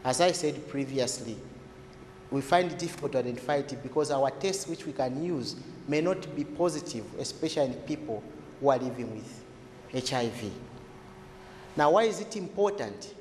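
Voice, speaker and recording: A man speaking as a health expert, explaining in a deliberate, emphatic way that repeats key words. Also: mid-range voice, animated, a little mellow. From Zambia. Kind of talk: explanatory interview talk. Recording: echoey, reverberant room with background noise.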